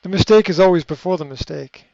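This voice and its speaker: A man speaking in a fairly high voice, coaching in an unscripted, reflective way. He pauses with fillers and restarts sentences as he thinks. The recording is dry and a little muffled. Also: creaky, darkish tone, no echo. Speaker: coach answering a question. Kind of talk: unscripted advice talk.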